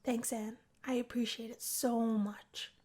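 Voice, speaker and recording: A woman's voice: on the high side, little pitch movement, measured pace, a little mellow. A woman voicing a laid-back, cocky young male musician in a visual novel, talking in a casual, coaxing way.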